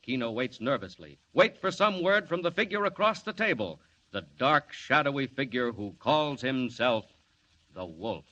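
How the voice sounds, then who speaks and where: A man's voice: medium pitch, big pitch swings, moderate pace, bright, forceful tone. A man narrating a 1940s radio serial with a dramatic, sweeping delivery. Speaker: narrator and announcer. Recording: dull old radio recording with hiss.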